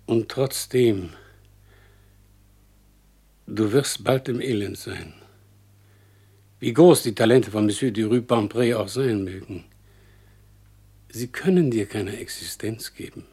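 Radio play: hurt, subdued wealthy suitor, slow pleading delivery, heavily husky voice. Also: male voice, on the low side, mellow, exaggerated voice. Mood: pleading, sorrowful. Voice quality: husky, breathy. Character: hurt, gentle older rich lover, pleading. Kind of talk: German radio play acting.

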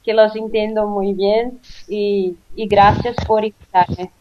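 A Brazilian woman with a Portuguese accent talks as a radio panel guest, choosing her words with care. Her delivery is halting but clear. Her line sounds muffled and lo-fi. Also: medium pitch, fairly even intonation. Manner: careful, halting non-native speech. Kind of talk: casual radio panel chat.

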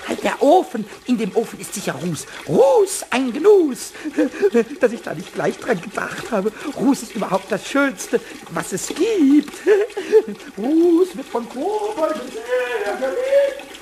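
A tiny kobold rascal with an extremely high, squeaky voice that swoops wildly. He drawls in a gleeful, boastful sing-song, cackling with mischief in a children's radio play, over clear background noise. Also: very animated, slow pace, darkish tone, exaggerated voice, rough recording. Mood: gleeful, scheming.

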